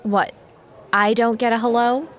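A woman's voice: a little high, steady tone, unhurried, some chatter behind, natural voice. A woman playing a dismissive, mocking teenage girl in an audio drama. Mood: gossipy. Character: snide, popular teenage girl, dismissive and bored.

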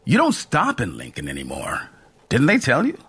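A man's voice: mid-range voice, huge pitch swings, normal speed, pushed and bright, slightly rough, full character voice. A man voicing a cheerful, chatty old male train conductor with a folksy, teasing manner. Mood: jovial.

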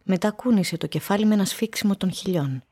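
An actress narrating a village mystery in a radio play, husky, measured and observant. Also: female voice, medium pitch, warm tone, close to an everyday voice.

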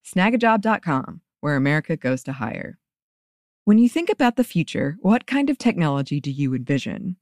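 An announcer reading a scripted commercial in a smooth, polished way. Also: medium pitch, sing-song, normal speed, darkish tone, dry recording, clean studio sound.

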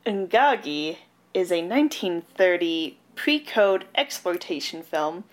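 A woman's voice: on the low side, big pitch swings, measured pace. A young woman from Canada, co-hosting a podcast in casual chat, talking in a blunt, wry, conversational way. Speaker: podcast co-host.

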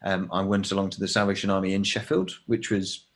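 Gravelly-voiced English man in his thirties. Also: low-pitched, level tone, a little fast. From England. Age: 30s. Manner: thoughtful, with many fillers and restarts. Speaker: podcast guest. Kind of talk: casual conversation.

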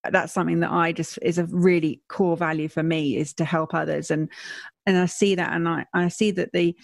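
やや低めの声の女性インタビュアー. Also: female voice, lowish voice, little pitch movement, normal speed, gravelly, no room sound, studio quality. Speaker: interviewer. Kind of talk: interview questions.

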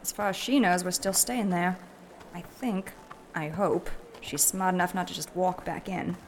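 Woman acting a low-voiced, practical noblewoman. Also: fairly even intonation, moderate pace, slight background noise, close to an everyday voice, rough recording. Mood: worried. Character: worried, practical royal woman adventurer. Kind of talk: fantasy audio drama acting.

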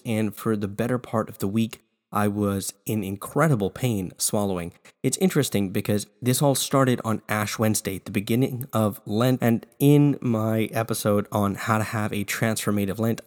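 A man hosting a prayer podcast, still congested after losing his voice, who moves from quick announcements to a slow, measured litany. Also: on the low side, darkish tone. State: congested, recovering from lost voice. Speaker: podcast host. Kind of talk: podcast talk and prayer recitation.

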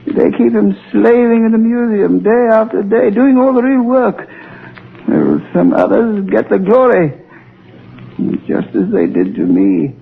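An actor playing a crazed, vengeful and servile old watchman in a 1930s radio thriller, halting and given to cackling. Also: high-pitched, big pitch swings, gravelly, slightly rough, heavily acted. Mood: bitter, gloating. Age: older. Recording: muffled 1930s radio recording.

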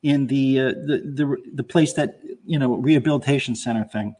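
A man's voice: medium pitch, moderate pace, warm tone. A man on a talk show panel, sharing recollections in casual conversation. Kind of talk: casual chat, film recollections.

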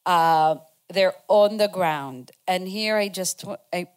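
A woman addresses a community forum in a slow, unhurried way. Her voice is smooth and clear, fairly low for a woman. She is earnest and halting, and fills her pauses with many ums. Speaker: panel speaker.

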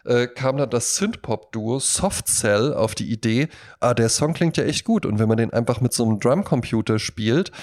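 A man's voice: medium pitch, animated, moderate pace, hardly any pauses, darkish tone. Chatty man telling anecdotes. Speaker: podcast host. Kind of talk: casual music chat.

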